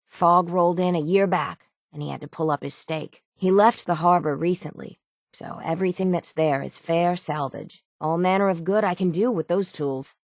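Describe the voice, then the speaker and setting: An actress playing a weathered, determined harbor seafarer in a video game, gruff and dutiful, with salty, old-fashioned folksy phrasing. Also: female voice, lowish voice, normal speed, no room sound, clean studio sound, close to an everyday voice. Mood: businesslike. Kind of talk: video game character dialogue.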